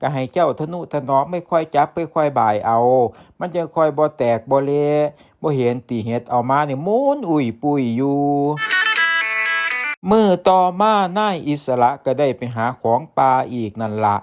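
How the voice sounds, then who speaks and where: A man's voice: a little high, lively intonation, normal speed, darkish tone, clear, resonant tone. Isan folktale storyteller, male, over music. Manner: folksy, addressing listeners directly. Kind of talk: oral folktale narration. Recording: music behind the voice.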